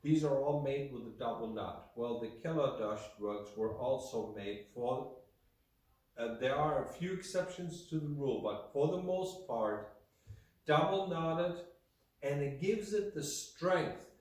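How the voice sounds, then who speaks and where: A man from Germany presents a product off the cuff, in a halting, deliberate way that often circles back on itself. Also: mid-range voice, lively intonation, a little mellow. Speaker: video host and Persian rug specialist. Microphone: camera mic from far away. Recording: very echoey room, distant microphone.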